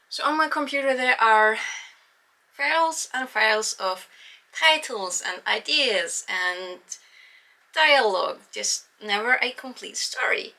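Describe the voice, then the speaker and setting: Bright-voiced Icelandic woman vlogging. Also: fairly high pitch. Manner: chatty and self-mocking, with fillers. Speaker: writing vlogger. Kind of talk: casual vlog talk to camera.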